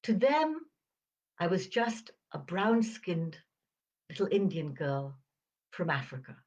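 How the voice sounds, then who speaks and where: Female, 70s, upper-crust British. Slow, reflective storyteller, precise diction, pauses between phrases, slightly muffled recording. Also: on the low side, sing-song, darkish tone. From Uganda. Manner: crisp enunciation, measured phrasing with deliberate pauses. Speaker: podcast host. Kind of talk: reflective personal storytelling monologue.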